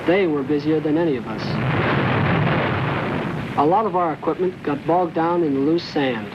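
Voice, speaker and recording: A man's voice: fairly high pitch, normal speed, lo-fi sound, very noisy. An American man giving scripted first-person war narration as a Marine, in a plain, folksy way, on an old film soundtrack.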